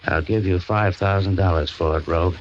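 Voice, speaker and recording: Cold killer for vintage radio crime drama, deep, clipped menace, hissy sound. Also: male voice, steady tone, fairly quick. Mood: menacing. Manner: terse, menacing, controlled. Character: cold, threatening male gangster killer. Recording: noisy, muffled old radio recording with clicks.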